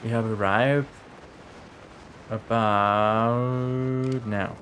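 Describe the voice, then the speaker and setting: A man's voice: mid-range voice, fairly even intonation, drawn-out words, a bit bright, a little hiss or hum. A man giving casual, sarcastic play-along game commentary, swearing freely. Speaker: game streamer. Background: video game music.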